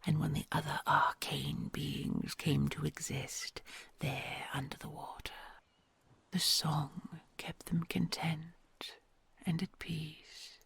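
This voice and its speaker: A woman voicing a kind, doting queen dragon, huge and ancient, who tells old legends in a soft hush and gently teases a human she adores. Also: low-pitched, flat delivery, takes it slowly, vocal fry, husky, dry recording, whispered, full character voice. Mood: storytelling. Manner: soft hushed storytelling, affectionate and gently teasing. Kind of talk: ASMR audio roleplay.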